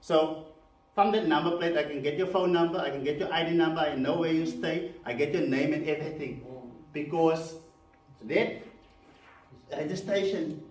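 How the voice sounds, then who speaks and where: A man's voice: a little high, moderate pace. A man with a South African accent, Afrikaans his first language, giving a live seminar to a room, chatty with many fillers and questions to the audience. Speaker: presenter. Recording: echoey room, mic far off, faint background noise.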